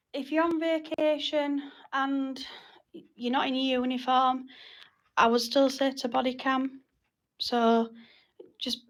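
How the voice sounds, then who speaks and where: Female meeting leader, higher-pitched, creaky, explains hesitantly in an informal way. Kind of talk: informal group meeting talk.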